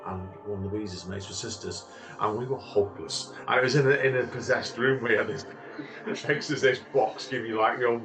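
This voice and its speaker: A man's voice: mid-range voice, moderate pace. A man hosting a podcast in a casual, rambling and jokey way, heard in an echoey recording some way from the mic. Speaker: podcast host. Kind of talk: casual, informal podcast chat. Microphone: some distance from the mic. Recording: echoey, distant mic, music behind. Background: music.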